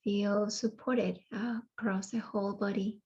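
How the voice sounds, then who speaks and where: A woman guiding a yoga nidra meditation in a soothing way, leaving long pauses between phrases. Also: medium pitch, fairly even intonation, dark tone, husky, lo-fi sound. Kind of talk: guided meditation.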